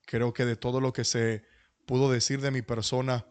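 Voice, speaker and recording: A middle-aged man, speaking as a pastor in a humble, courteous and deliberate way to an online class. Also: mid-range voice, little pitch movement. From Dominican Republic and New York. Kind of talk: introductory talk at an online church leadership class. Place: online video call.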